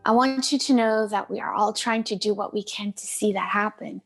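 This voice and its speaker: A woman acts out a Cuban aunt in a stage drama, caring but firm, at an ordinary medium pitch. She coaxes and slips Spanish endearments into her English, with music playing behind her. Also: normal speed, fairly bright tone. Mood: coaxing, careful. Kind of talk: dramatic play table read.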